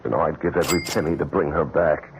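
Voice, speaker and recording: A man playing a smooth, scheming rich widower with a suave, theatrical, insincerely solemn manner in an old-time radio mystery. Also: a bass voice, fast talker, a little hiss or hum. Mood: feigned grief. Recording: muffled narrowband radio drama, music behind.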